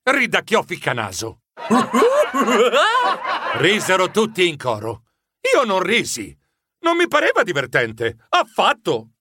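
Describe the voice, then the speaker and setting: A timid, excitable mouse, tells a children's story in a high, bright voice. The delivery is theatrical and fretful, leaping up and down with great animation. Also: male voice, takes it slowly, long pauses, dry recording, studio quality, exaggerated voice. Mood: wry storytelling. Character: excitable, timid male mouse narrator and newspaper editor.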